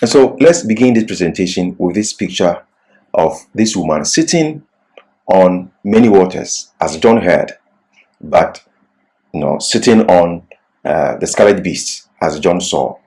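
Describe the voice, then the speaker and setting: A man giving a religious seminar lecture as a Bible class teacher, with deliberate, emphatic phrasing broken by pauses mid-sentence. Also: deep voice, expressive, a little mellow.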